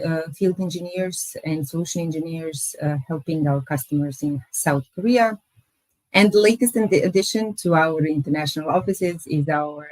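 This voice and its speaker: Low-voiced woman, halting delivery. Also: dry recording, rough recording. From Croatia. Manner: hesitant, many pauses and fillers. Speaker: company recruiter presenting. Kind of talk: webinar presentation.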